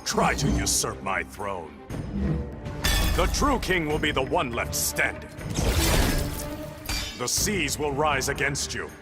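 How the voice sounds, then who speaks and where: A man's voice: mid-range voice, very animated, slow pace, long pauses, over loud music. An actor playing a proud, stern warrior king in a video game, speaking in a regal, formal, commanding manner. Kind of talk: dramatic video game dialogue.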